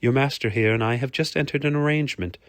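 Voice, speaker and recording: A man's voice: medium pitch, fast talker, no room sound, studio quality, voice pushed up, lightly acted. A man voicing a young noblewoman in a fantasy audiobook, polite, demure and businesslike. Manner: polite, demure, matter-of-fact.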